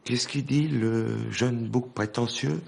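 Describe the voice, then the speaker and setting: An actor playing a proud, hot-tempered police instructor, condescending and blustering, in naturalistic film style. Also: male voice, mid-range voice, fairly even intonation, slow, creaky, a little mellow, husky, full character voice. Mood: scornful, irate. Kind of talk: naturalistic French film acting.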